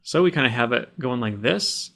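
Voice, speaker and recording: A man's voice: mid-range voice, lively intonation, moderate pace, a bit bright. Man giving step-by-step tutorial narration. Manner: plain, instructional, explaining each action as he does it. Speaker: tutorial instructor. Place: voice-over of a screen recording.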